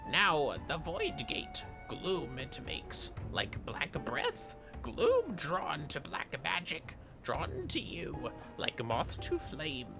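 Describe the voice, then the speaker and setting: A man voicing a small, eerie beastfolk mage in a fantasy game, halting and singsong with backwards grammar. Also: on the high side, wildly swooping pitch, creaky, music in the background, voice pushed up, full character voice. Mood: eerie, knowing. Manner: halting, inverted syntax, singsong.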